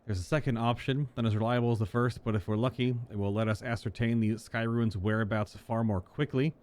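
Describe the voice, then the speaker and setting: A man reading game dialogue as a learned, methodical tracker, in a measured, formal, explanatory way. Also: medium pitch, fairly even intonation, a little mellow, lightly acted. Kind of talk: game dialogue read aloud.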